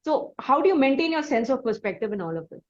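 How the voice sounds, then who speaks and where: Higher-voiced Indian woman interviewer, slightly dull audio. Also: very animated, fast. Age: 30s. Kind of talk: conversational interview questioning.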